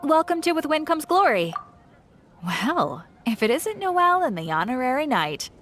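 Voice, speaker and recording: A woman's voice: sits high, big pitch swings, moderate pace, a bit bright, faint music behind. A woman voicing a friendly, chatty shopkeeper in an anime video game, with a knowing, teasing manner.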